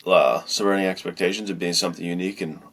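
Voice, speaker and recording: Deep-voiced, slangy, enthusiastic man. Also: fairly even intonation, fast, fairly bright tone. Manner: hyped, slangy, emphatic praise, frequent "dude". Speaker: podcast co-host and writer. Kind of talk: casual book chat.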